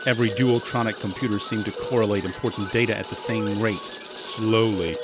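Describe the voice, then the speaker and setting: A man's voice: lowish voice, steady tone, a little fast, loud music behind the voice, natural voice. A man playing the solemn narrator of a scripted science fiction audio drama. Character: solemn male storybook-style sci-fi narrator.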